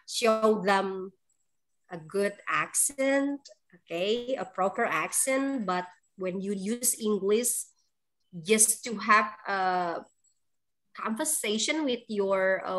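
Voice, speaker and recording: A woman's voice: mid-range voice, pushed and bright, low-quality recording. A woman English teacher with an Indonesian accent, giving chatty, encouraging feedback at the end of an online class over a video call. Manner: encouraging, chatty, laughs often, fills pauses with um.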